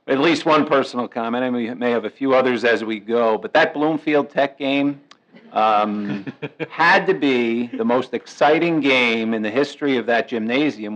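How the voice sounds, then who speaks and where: A middle-aged man with a loud, upbeat voice talks chattily as he hands out team awards at a township meeting. His tone stays mid-pitched and friendly. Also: lo-fi sound. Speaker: committeeman and recreation director. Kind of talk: award presentation remarks.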